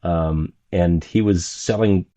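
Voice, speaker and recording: Deep, mellow male podcast guest. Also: slow. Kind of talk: casual conversational commentary.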